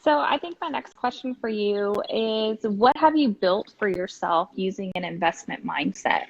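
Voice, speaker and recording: A woman's voice: medium pitch, lively intonation, normal speed, vocal fry. A woman hosting an online interview over a video call, who asks short questions and encourages her guest. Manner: encouraging, brief affirmations. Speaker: host. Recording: dull dry video-call audio.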